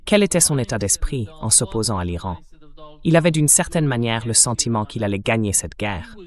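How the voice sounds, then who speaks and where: A man's voice: lowish voice, lively intonation, a little fast. A man hosting an interview and asking the guests questions. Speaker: host. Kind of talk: moderating an interview.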